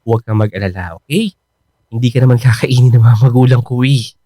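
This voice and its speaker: A man playing an earnest grown son and reassuring boyfriend in a Filipino radio drama, expressive and quick to protest. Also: mid-range voice, no echo, studio quality, close to an everyday voice.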